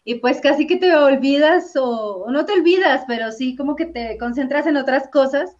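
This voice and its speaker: A woman's voice: high voice, moderate pace, darkish tone. A woman from Colombia, an actress and comedian, chatting as an interview guest with many fillers and repeated words. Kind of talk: casual interview chat. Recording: dull lo-fi sound.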